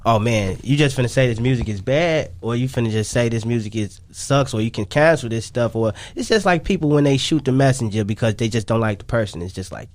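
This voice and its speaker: A man's voice: mid-range voice, animated, fairly quick, hardly any pauses, no echo. A man talking casually as a guest in a radio interview, rambling and repeating himself with slang tags. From Chicago, United States. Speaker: music artist and songwriter, interview guest.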